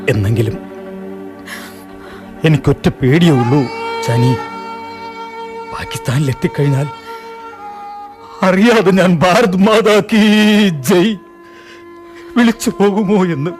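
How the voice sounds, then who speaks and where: A man's voice: sits high, animated, slow, pauses often, darkish tone. Man playing an anguished young man leaving home. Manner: anguished, earnest. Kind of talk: radio drama acting. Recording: music bed under the voice.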